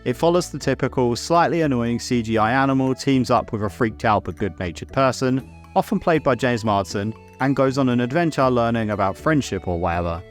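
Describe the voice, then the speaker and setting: A man's voice: medium pitch, animated, a little fast, soft background music. A man narrating a scripted video essay as host, casual and opinionated, with wry asides and the odd swear word.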